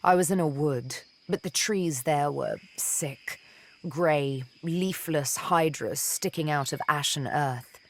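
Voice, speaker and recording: A woman playing a seductive, vengeful elven assassin and former slave in a video game, speaking in a deliberate, theatrical, teasing manner. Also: low voice. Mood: grim, haunted. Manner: deliberate, teasing, dramatic storytelling with pauses. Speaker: video game companion character.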